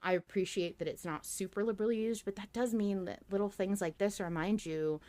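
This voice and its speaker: She is a podcast host with a medium-pitched woman's voice. Her talk is casual and candid, and she tends to think aloud, circling back to rephrase her points in an informal way. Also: brisk, mellow. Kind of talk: casual conversational podcast commentary.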